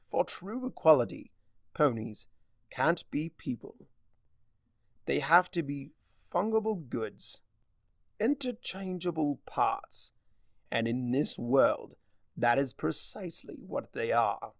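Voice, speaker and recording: A man's voice: medium pitch, big pitch swings, takes it slowly, vocal fry, fairly bright tone, no echo, studio quality, heavily acted. A man voicing a gleefully mad, theatrical spirit of chaos who lectures in a smug, mock-cheerful way, in a story reading. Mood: gleeful lecturing.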